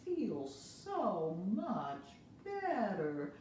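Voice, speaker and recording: A woman voicing a calm, practical mother who talks in a matter-of-fact way, in a very echoey room. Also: medium pitch, very slow, soft background music, pitched above the performer's own voice, heavily acted. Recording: very echoey room, faint hiss.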